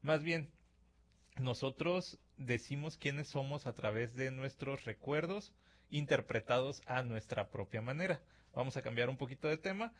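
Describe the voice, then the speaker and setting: A man's voice: medium pitch, normal speed. Mexican man hosting a reflective monologue. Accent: Mexican Spanish. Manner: conversational, acts out imagined dialogues with filler words. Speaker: radio-style podcast host. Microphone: studio microphone.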